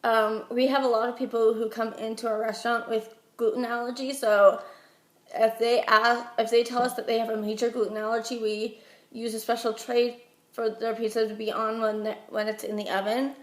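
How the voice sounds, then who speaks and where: A young woman with an American accent speaks in a higher-pitched voice, slightly under the weather with a head cold. She answers interview questions in a chatty, halting way. The recording has a clear roomy echo. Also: flat delivery. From Utah, United States. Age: young adult. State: getting over a head cold. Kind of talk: informal phone interview answers.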